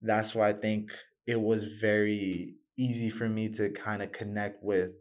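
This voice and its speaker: A man in his twenties from the US, of Mexican family, answering interview questions casually in a loud voice, looping loosely through his thoughts. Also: lowish voice, level tone, measured pace. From United States, of Mexican family. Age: 20s. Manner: informal, talks in loose loops with frequent "like" and "you know". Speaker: interview guest, graduating student.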